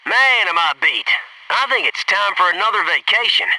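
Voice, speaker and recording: A cocky American Navy SEAL squadmate from a combat video game. His voice sits high and swings widely in pitch, with slangy, wisecracking delivery. It comes through a radio filter with clear background noise. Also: animated, moderate pace, bright, forceful tone, far from the mic, full character voice, rough recording. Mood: wry, cocky. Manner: cocky, joking, slangy, barks urgent callouts.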